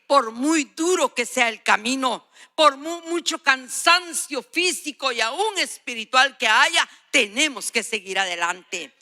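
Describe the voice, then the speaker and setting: Older lady preacher giving a sermon, really bright intense voice, emphatic, says stuff twice to drive it home. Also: fairly high pitch. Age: 60s or older. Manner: emphatic, exhorting, repeats phrases for emphasis. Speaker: guest preacher.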